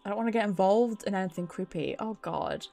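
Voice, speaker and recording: A woman's voice: mid-range voice, fast, creaky, mellow, soft background music. A British woman doing casual, chatty game stream commentary, swearing freely and reacting aloud as she plays.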